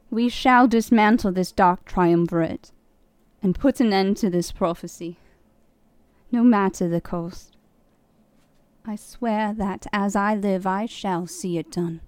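A woman voicing a grave, vengeful witch in a formal, measured fantasy character monologue. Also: medium pitch, a little mellow, smooth, no echo, lightly acted. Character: solemn, vengeful witch bound by prophecy.

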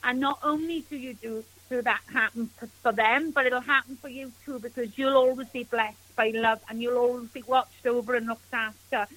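High-voiced Welsh woman, earnest and grateful. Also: fairly even intonation, normal speed, hardly any pauses, fairly bright tone, poor audio. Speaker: guest, spiritual healer. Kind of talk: radio interview answers.

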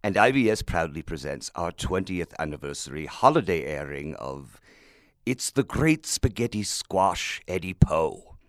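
A gloomy, self-important gothic horror storyteller in a radio play. The voice sits very deep and moves widely, with grandiloquent, droll melodrama in the phrasing. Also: male voice, very animated, measured pace, no echo, clean studio sound. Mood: pompous, droll. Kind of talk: old-time radio play narration.

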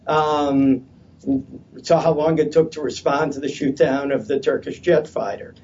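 Hesitant, loud man at a distant mic. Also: fairly high pitch, steady tone, measured pace, slight background noise. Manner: hesitant, many fillers and restarts. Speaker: panel speaker at a policy conference. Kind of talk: unscripted conference talk. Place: conference session room. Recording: distant, dull lo-fi conference audio.

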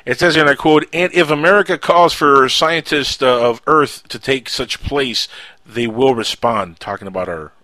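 Male radio host, Cuban accent, mid-pitched and lively, dry close sound; casual banter with sudden loud outbursts. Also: no echo. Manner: animated, quick to jump to loud outbursts.

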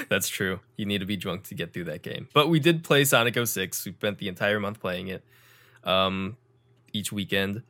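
A young man hosting a casual podcast, talking with energetic emphasis and dry sarcasm. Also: fairly low pitch, normal speed. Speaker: podcast host.

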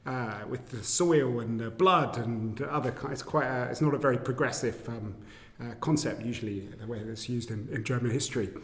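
A man's voice: medium pitch, moderate pace. Man, native English speaker, panel moderator, hesitant phrasing, repeated words, reverberant echoey hall. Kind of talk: political panel discussion.

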